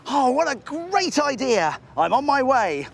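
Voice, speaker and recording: A man, presenting crafts for young children in an excitable, exaggerated and clearly enunciated way. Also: very high voice, unhurried. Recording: music bed behind the voice. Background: children's show music.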